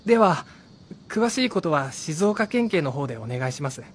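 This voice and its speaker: An actor playing a polite, businesslike, reassuring police officer in a Japanese radio drama. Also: male voice, fairly high pitch, big pitch swings, normal speed, a little hiss or hum.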